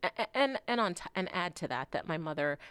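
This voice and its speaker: Low-voiced woman in her forties, frank. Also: fast, no echo. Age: 40s. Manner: frank and animated, swears casually, drifts into soft asides. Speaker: guest artist. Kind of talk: candid conversational interview.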